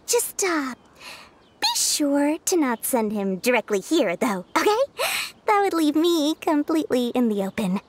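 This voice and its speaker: A woman's voice: high voice, big pitch swings, measured pace. A woman voicing a small, shrewd, money-loving female merchant in a video game, with wheedling, theatrical sales patter. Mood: smug, greedy. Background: music.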